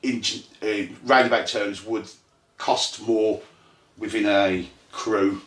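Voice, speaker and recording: A man from Ireland with a Birmingham accent, casually hosting a tabletop game night and explaining the rules in a halting, self-deprecating way with plenty of fillers. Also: fairly low pitch, steady tone, a bit bright. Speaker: host and game runner. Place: indoor room at a gaming table. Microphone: phone. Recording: echoey room on phone mic.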